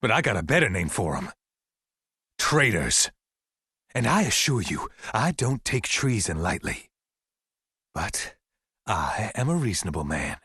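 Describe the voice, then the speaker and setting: Husky, animated American militia demagogue. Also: male voice, medium pitch, no room sound, studio quality, full character voice. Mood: stern, menacing. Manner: preachy, emphatic, deliberate pauses. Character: paranoid, defiant male American militia demagogue, folksy yet menacing. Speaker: militia leader broadcasting to survivors. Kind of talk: dramatic radio monologue, game voice acting.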